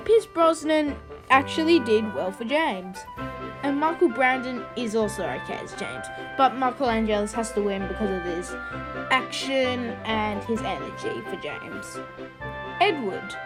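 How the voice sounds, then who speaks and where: A video host giving informal, opinionated commentary in a casual style. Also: mid-range voice.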